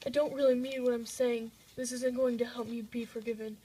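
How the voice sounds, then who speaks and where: A woman's voice: fairly high pitch, level tone, a little fast, warm tone, slightly rough. A woman playing a scheming, guilty, self-protective king in an amateur puppet-show play. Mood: remorseful.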